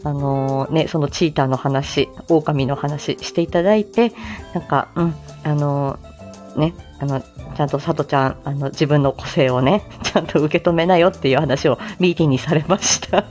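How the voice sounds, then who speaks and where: Giggly low-pitched woman chatting over music. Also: moderate pace, a little mellow. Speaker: online audio show host and voice performer. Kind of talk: casual morning livestream chat.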